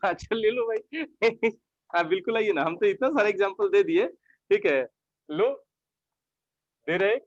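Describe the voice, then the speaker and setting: A male teacher with a high voice runs an online English lesson in Hindi. He repeats his own questions and keeps asking the students to answer yes or no. The audio is dull and dry, like a class microphone. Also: a little slow, long pauses, no echo.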